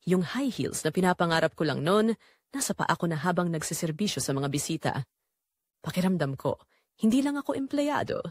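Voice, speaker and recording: Expressive Filipino woman narrating, dry sound. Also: on the low side, a little fast, no room sound. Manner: emotive, confessional storytelling. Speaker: audio drama narrator. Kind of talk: first-person dramatic scripted narration.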